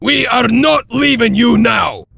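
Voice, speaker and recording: An actor playing a wild maniac wolf clan warrior with a raspy, croaking voice in a video game cutscene. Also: male voice, extremely high pitch, measured pace, pushed and bright, no echo, full character voice. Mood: manic, incredulous.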